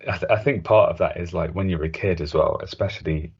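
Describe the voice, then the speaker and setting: A man's voice: deep voice, quick pace, a little mellow, breathy edge. A man in his thirties chatting casually as a podcast co-host, in a loose, hesitant conversational way. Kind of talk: casual chat. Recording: dull lo-fi podcast audio.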